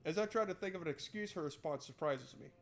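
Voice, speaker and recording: Wry male gamer with a highish voice. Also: quick pace. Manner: wry, playful. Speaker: gaming streamer. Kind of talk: let's play, reading aloud and joking commentary.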